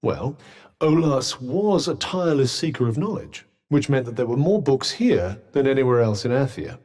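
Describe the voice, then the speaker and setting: Game companion, sentient magic cuff: British, pompous and articulate, dry sarcasm, highly animated pitch. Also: male voice, normal speed. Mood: erudite, explanatory. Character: sardonic, haughty talking magical cuff, erudite male companion. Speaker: voice actor. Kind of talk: video game companion dialogue.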